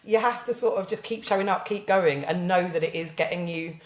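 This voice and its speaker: A woman's voice: lowish voice, a little fast, echoey room. A woman with a southern English accent, chatting as a podcast guest, laughing often and animatedly retelling conversations. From southern England. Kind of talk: casual chat.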